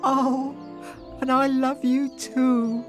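A woman playing a self-important but affectionate wife in a children's fairy tale, with sighs and animated exclamations. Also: medium pitch, takes it slowly, faint music behind. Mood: loving.

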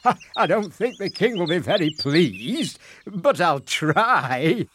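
A humble storybook fisherman played by a man, good-natured and flustered. He stammers a little in a raised, lively voice. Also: high voice, slow pace, slight background noise, pitched above the performer's own voice, heavily acted. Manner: hesitant, stammering. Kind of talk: children's storybook character voice.

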